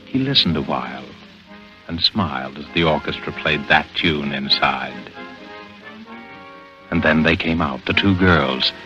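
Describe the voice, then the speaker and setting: An ominous radio storyteller with an extremely deep voice. He narrates a vintage thriller gravely and deliberately, over prominent music on a dull old recording. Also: bright, forceful tone, exaggerated voice. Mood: hushed, ominous. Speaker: announcer and narrator. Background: dramatic music.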